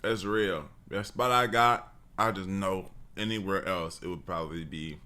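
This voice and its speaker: A man's voice: low voice, normal speed, pushed and bright. A man from Texas reacting to a video in a laid-back, slangy, rambling way, with many restarts. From Texas (Dallas). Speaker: reaction streamer. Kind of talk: casual reaction commentary.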